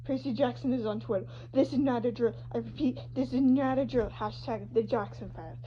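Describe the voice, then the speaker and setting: A woman's voice: fairly high pitch, steady tone, fairly quick, lightly acted. A woman voicing a cheeky, bewildered teenage boy, reading his online posts in an informal, dramatic way. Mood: mock-serious. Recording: muffled lo-fi home recording with hum.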